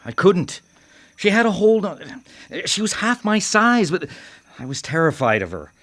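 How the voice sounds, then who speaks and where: An actor in a radio drama playing a guilt-ridden male killer, halting as he confesses and menacing in manner. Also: high voice, very animated, fairly bright tone, full character voice. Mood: agitated, angry. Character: brooding guilt-ridden male serial killer, haunted by his mother. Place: live radio drama broadcast.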